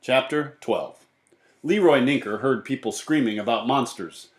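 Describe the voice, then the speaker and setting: A man narrating a children's book as an animated storybook reader. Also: medium pitch, a little slow. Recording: roomy, echoey sound.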